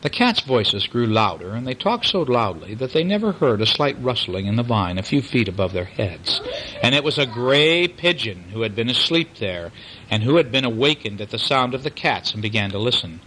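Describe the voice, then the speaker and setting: A man with a bright voice reads a bedtime story aloud. He is expressive and explains things simply to a young child, with faint noise in the background. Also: mid-range voice, normal speed, rough recording. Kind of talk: bedtime story reading aloud, with chatty asides.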